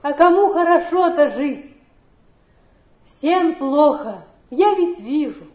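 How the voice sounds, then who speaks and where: A woman's voice: high-pitched, animated, slow, darkish tone, highs cut off. An actress playing a fragile, desperate young woman clinging to her dreams, in a plaintive theatrical stage manner. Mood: wistful, melancholy. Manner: plaintive, dramatic stage delivery.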